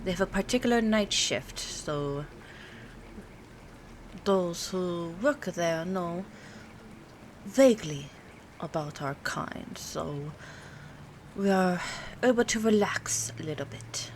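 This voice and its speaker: Girl acting as a haughty but secretly fond vampire lady, asmr roleplay, soft n breathy, really slow with pauses, bit of background ambience. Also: on the low side, lively intonation. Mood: calm, explaining. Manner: formal, hesitant, haughty phrasing with drawn-out pauses. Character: aloof, teasing female vampire, old, secretly fond. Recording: clear background ambience noise. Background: rain, city ambience, soft music.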